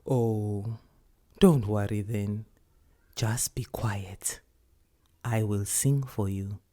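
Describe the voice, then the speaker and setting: A woman's voice: extremely low pitch, extremely slow, mellow, pitched below the performer's own voice, exaggerated voice. A woman voicing a female elder who is bossy yet tender with a child, in a South African accent, in a short story. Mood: hushed, soothing.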